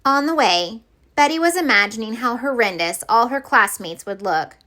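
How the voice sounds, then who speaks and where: A woman reading a children's picture book aloud as storyteller, with expressive, deliberate phrasing for young listeners. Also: on the high side.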